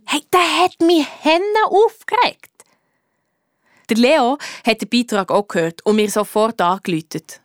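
High-voiced Swiss schoolgirl detective, close and dry. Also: animated, measured pace, no echo. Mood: fed-up, eager. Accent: Swiss German. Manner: indignant, colloquial. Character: Lisa, feisty schoolgirl detective narrating her case. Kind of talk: first-person radio play narration.

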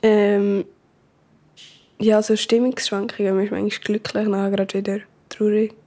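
A woman's voice: mid-range voice, monotone, moderate pace, mellow. A teenage girl with a Swiss German accent chats casually and tentatively in an interview about herself. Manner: tentative, unsure.

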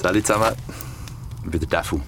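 A man's voice: low-pitched, fast talker, creaky, background noise. A man playing a brash, easygoing young newcomer in an audio drama, casual and blunt, with a Swiss German accent. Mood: breezy, brash. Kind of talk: naturalistic audio drama dialogue.

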